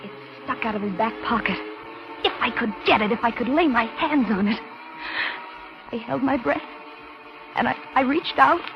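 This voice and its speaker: High-voiced frightened woman, old radio. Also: vocal fry. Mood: fearful, suspenseful. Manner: tense, halting delivery with repeated words. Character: frightened young working woman held captive, desperately defiant. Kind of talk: 1940s radio drama acting and first-person narration. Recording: dull 1940s radio recording with music.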